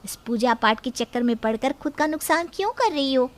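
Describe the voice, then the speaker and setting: A woman's voice: high-pitched, expressive, a little fast, pitched above the performer's own voice. A woman voicing a sweet, eager young sister-in-law in a Hindi moral story, girlish and pleading. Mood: coaxing.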